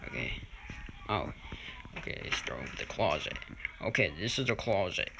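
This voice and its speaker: A man's voice: low-pitched, big pitch swings, moderate pace, vocal fry. A man giving a casual, improvised house tour, speaking hesitantly and unsurely as he films indoors on a handheld camera. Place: indoors, moving through rooms of a house. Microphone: handheld phone or camera mic. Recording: noisy handheld home video, clicks.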